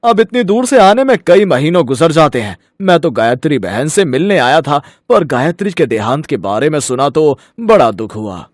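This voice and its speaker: A man's voice: fairly high pitch, big pitch swings, normal speed, few pauses, dry recording, clean studio sound. A man voicing a scheming sorcerer who poses as a sweet-talking uncle in a story, persuasive and emphatic. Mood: ingratiating.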